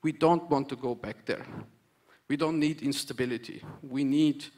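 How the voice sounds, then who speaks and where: A man's voice: medium pitch, moderate pace, darkish tone. Earnest man at a conference. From Romania. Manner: earnest, repetitive emphatic phrasing with hesitant restarts. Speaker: conference panel speaker. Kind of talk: conference speech.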